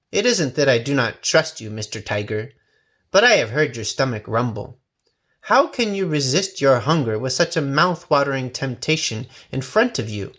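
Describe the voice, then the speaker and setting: A man's voice: medium pitch, a bit bright. A man playing a small, wary and shrewd farmer in a storybook, explaining things in a polite, deferential way. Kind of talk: storybook character voice.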